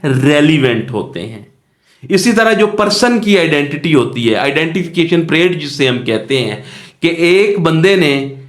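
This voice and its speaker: A man with a Pakistani accent teaching law in an explanatory lecture, posing questions and answering them himself in a didactic way. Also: a little high. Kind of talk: explanatory lecture with worked examples. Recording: echoey room.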